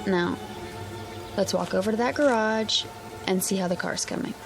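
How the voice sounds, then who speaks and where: A woman's voice: mid-range voice, moderate pace, vocal fry, natural voice. An actress playing an affectionate, practical young honeymooning wife in a radio drama, coaxing and reasoning with her husband. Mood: affectionate, cheerful. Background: music under the voice.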